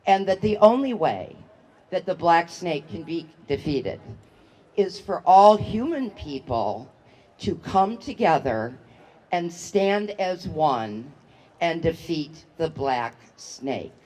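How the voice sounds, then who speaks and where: Woman giving a solemn, lively rally speech. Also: on the low side, rough recording. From United States. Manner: slow, solemn delivery, rising to shouted calls. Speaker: rally speaker, human rights activist. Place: outdoor rally with a large crowd. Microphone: public address microphone.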